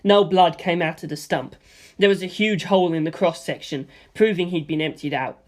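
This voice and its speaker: A man narrating a first-person action story as an amateur audiobook reader, in an animated style. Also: a little high, normal speed.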